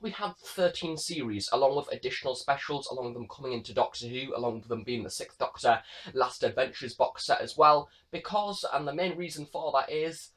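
Fast-talking young man, enthusiastic reviewer. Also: medium pitch, a bit bright. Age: young adult. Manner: enthusiastic, rambling, gesturing. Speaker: host, audio drama reviewer. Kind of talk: casual scripted-free review to camera. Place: small bedroom, indoors.

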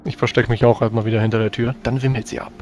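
A man's voice: medium pitch, little pitch movement, very fast, a little mellow. Man at game table, faint noise. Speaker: tabletop role-playing player. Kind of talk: casual game-table chat. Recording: faint background noise, room ambience.

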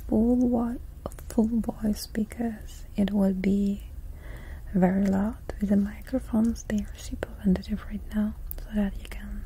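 A young woman whispering softly into two sensitive foam-covered microphones during an ASMR live stream in a small indoor room. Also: mid-range voice, fairly even intonation, a little slow, slight background noise, low-quality recording. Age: young adult. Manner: soft unvoiced whispering close to the mics. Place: small indoor room before a blue backdrop. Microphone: two foam-covered shotgun mics right at her face.

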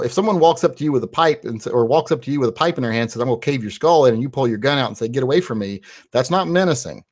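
A man in his forties from West Virginia, hosting a live-stream Q&A and answering viewer questions in a loose, rambling way with lots of "uh" fillers, recorded on a studio mic in a small home studio. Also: mid-range voice. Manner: rambling and conversational, with frequent "uh" fillers. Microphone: large studio mic on a boom, headphones.

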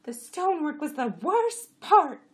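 A woman voicing a bossy, exasperated female donkey with a sharp tongue and a braying, commanding delivery in a story. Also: high-pitched, big pitch swings, measured pace, pitched above the performer's own voice. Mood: exasperated complaining. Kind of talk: audiobook character voice.

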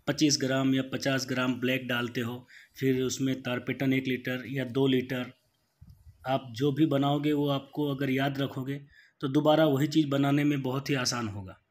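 A man in his thirties, a wood polisher, explaining his work step by step in a plain, practical way, with small restarts. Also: medium pitch. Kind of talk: how-to voice-over.

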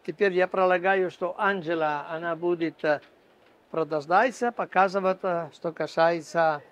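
Halting man guiding a furniture stand tour. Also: high-pitched, steady tone, a bit bright. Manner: halting, ungrammatical Russian with restarts. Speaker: furniture showroom guide. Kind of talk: casual walking tour explanation. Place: busy furniture fair stand, indoors.

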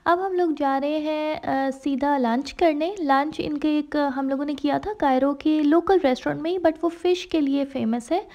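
A woman's voice: sits high, moderate pace, hardly any pauses, a little mellow. A woman travel vlogger narrating her trip casually, slipping English phrases into her talk with frequent fillers. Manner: chatty, mixes English phrases into Hindi-Urdu, many fillers.

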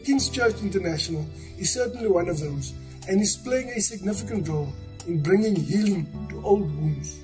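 High-voiced man, earnest scripted narration. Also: animated, normal speed, over music. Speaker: church member presenting.

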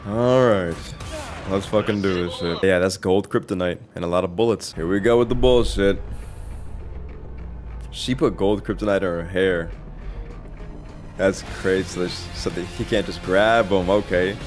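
A man reacts to a game in a low-pitched voice. His commentary is casual, full of slang and swearing. Game music runs clearly behind him. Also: moderate pace, pauses often. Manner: slangy, profane. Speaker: gamer reacting to gameplay.

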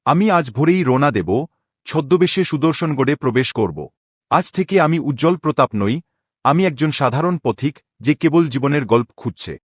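A man playing a proud, vengeful young king in a cartoon drama, speaking gravely and declaiming with cold resolve. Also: fairly high pitch, animated, moderate pace, dry recording, clean studio sound. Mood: grave, determined. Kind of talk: dramatic Bengali cartoon voice acting.

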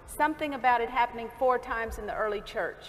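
Stage play: stubborn, scornful churchwoman played by a woman, high and animated, exasperated delivery, reverberant theatre. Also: fast talker, natural voice. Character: indignant prim early-1900s churchwoman, scornful and stubborn. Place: stage of a large theatre hall. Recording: echoey theatre hall.

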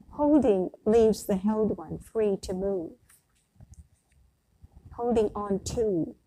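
Casting: woman in her 60s, priest at the pulpit, soft-edged mellow tone, measured delivery, slight background hiss. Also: medium pitch, poor audio. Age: older, around 60s. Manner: measured, deliberate phrasing with pauses. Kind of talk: sermon, read from a prepared text. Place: church sanctuary, at the pulpit.

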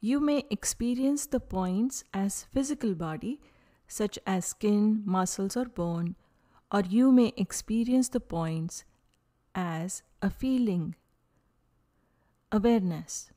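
A woman leading a guided yoga nidra meditation, speaking calmly and soothingly in short phrases with long pauses. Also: medium pitch.

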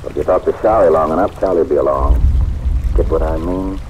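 An actor playing a plain-spoken, deferential theatrical agent with colloquial, hesitant speech in an old radio drama. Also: male voice, rumbling low, brisk, slight background noise, low-quality recording. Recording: old radio broadcast under steady rain.

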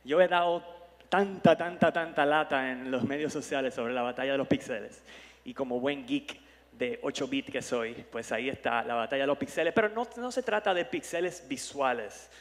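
A man's voice: medium pitch, normal speed. A middle-aged man born in New York with Dominican parents, giving a jokey, playful keynote talk on a conference stage through a headset mic. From born in New York, parents from the Dominican Republic. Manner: playful, jokey, self-promoting. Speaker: conference speaker. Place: large conference hall stage. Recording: long hall reverb.

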